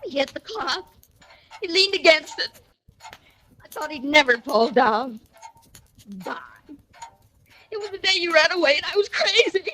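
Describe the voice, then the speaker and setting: Lovesick, obsessive murderess: high, piercing, 1940s radio melodrama, slightly noisy. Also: female voice, lively intonation, unhurried, long pauses, sharp tone, heavily acted. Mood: frantic, tearful. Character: obsessive, lovesick woman who has killed. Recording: noisy old radio recording.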